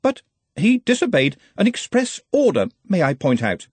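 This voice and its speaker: A man's voice: high-pitched, wildly swooping pitch, normal speed, breathy edge, no echo, pitched above the performer's own voice, exaggerated voice. A man voicing an anxious, pedantic, long-suffering wizard's assistant in a storybook, fussy and deferential. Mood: anxious protesting.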